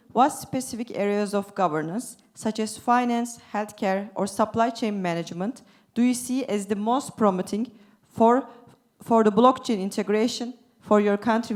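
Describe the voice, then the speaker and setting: A woman's voice: mid-range voice, normal speed, darkish tone. A woman from Turkey moderating a panel, speaking casually with many filler words. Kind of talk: panel discussion.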